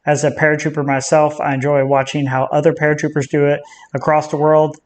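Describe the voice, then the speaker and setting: An American military veteran hosting a reaction video, speaking in a direct, conversational way. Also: male voice, on the high side, flat delivery, brisk. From United States.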